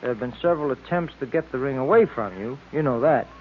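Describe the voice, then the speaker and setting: A man's voice: mid-range voice, lively intonation, fast talker, lightly acted. An actor playing a detective's male sidekick under a villain's spell, in old-time radio serial style. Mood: feigned casual. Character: detective's male sidekick, hypnotized, feigning casual friendliness. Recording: tinny, noisy vintage radio recording.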